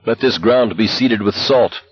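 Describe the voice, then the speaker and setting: A man voicing a hysterical young male walker who declaims mock prayers in a jeering, excitable way, in an audiobook story. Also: on the low side, unhurried, husky, voice pushed up. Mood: mock solemn. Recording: dull, echoey narration recording.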